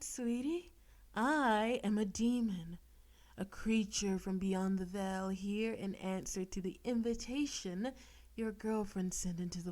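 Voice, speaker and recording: A woman voicing a possessive, seductive female demon in an intimate audio roleplay, teasing and coaxing with theatrical menace, sometimes breathy. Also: medium pitch, a little mellow, lightly acted. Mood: proud, menacing. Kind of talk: intimate audio roleplay, scripted monologue to listener.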